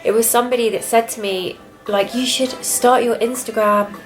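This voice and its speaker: Soft-spoken young British woman vlogger. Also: fairly high pitch, steady tone, normal speed, faint music behind, poor audio. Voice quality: soft, quiet voice. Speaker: fitness vlogger and influencer. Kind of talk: casual confessional chat to camera.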